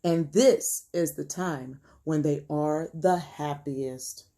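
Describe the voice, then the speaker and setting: A woman teacher reading a picture book aloud to young children in an expressive, gentle storytelling manner and putting questions to her pupils. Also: low-pitched, slow pace.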